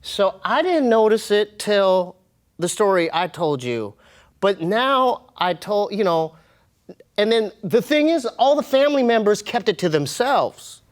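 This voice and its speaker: A man telling personal stories as an interview guest, in an informal, slangy way with halting, repeated phrases. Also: sits high, big pitch swings. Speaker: interview guest, comedian and podcast host. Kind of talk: casual animated storytelling.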